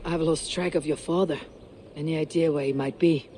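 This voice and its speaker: A woman's voice: low-pitched, steady tone, normal speed, mellow, a little hiss or hum, close to an everyday voice. A woman voicing a blunt Viking warrior. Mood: terse. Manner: terse, blunt.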